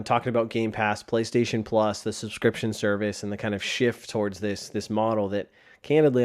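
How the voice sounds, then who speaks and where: A man's voice: medium pitch, darkish tone. Tired man hosting a casual podcast. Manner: rambling with frequent restarts. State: sore throat, tired. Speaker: podcast host. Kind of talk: casual solo podcast talk. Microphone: desk microphone.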